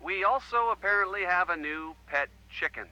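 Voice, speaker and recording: An actor playing an upbeat, earnest college-age American boyfriend chatting on a phone call in a video game. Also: male voice, high-pitched, expressive, moderate pace, pushed and bright, exaggerated voice. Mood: amused. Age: young adult.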